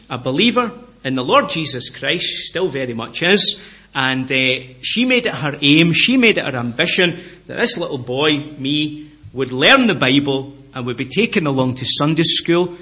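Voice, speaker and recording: A middle-aged man from Scotland with a Scottish accent, preaching a sermon in an earnest way, repeating phrases and slowing down to stress key words. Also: medium pitch, bright, forceful tone.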